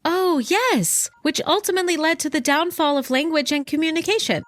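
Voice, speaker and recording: Woman doing an eager 1930s radio heroine, high lively voice, faint music. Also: fairly quick, no room sound, lightly acted. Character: earnest heroic young woman Wilma.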